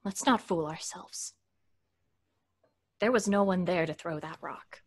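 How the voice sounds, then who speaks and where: A woman's voice: fairly low pitch, unhurried, slightly rough. A woman playing an earnest, fearful young woman in an old-time radio drama, speaking with dramatic insistence. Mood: grave, haunted.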